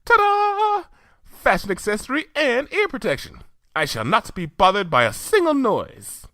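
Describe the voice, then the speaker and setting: A man's voice: extremely high pitch, huge pitch swings, a little slow, sharp tone, no echo, studio quality, voice pushed up, exaggerated voice. Man doing a posh, theatrical fashion-pony mare. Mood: refined. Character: elegant, fashion-loving young pony mare.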